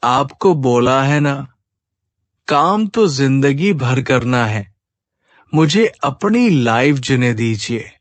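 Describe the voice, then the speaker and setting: A man's voice: medium pitch, animated, slow pace, dry recording. A man voicing a spoiled, defiant young adult son in a cartoon moral story, with a demanding, insolent manner.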